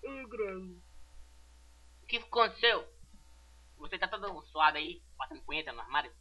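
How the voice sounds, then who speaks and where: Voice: mid-range voice, expressive, moderate pace, pushed and bright, poor audio. An amateur dubber with a Brazilian accent doing a comic redub of a sitcom in brash, slangy, mocking street talk.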